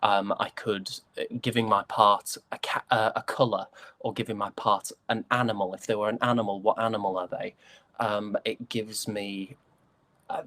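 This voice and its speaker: A man's voice: on the low side, little pitch movement, normal speed, fairly bright tone. A man with a British accent chatting casually as an interview guest, hesitant and jokingly self-deprecating. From United Kingdom.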